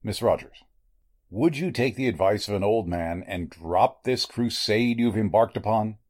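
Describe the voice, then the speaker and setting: An actor playing a sinister, courteous elderly doctor in a 1940s-style radio mystery, speaking formally and deliberately with a husky voice. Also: male voice, fairly low pitch, a little mellow. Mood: grave, courteous. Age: older. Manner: formal, deliberate, menacingly polite.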